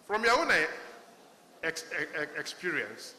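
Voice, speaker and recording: Bright-voiced moderator, very echoey. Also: male voice, fairly high pitch, sing-song, a little hiss or hum. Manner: halting, stammering questions. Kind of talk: panel moderation. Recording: roomy echo, long hall reverb.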